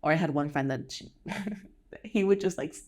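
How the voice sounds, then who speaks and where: A woman's voice: sits low, normal speed, a little mellow. A woman with an American accent chatting casually as a podcast guest, in a conversational way. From United States. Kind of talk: casual chat.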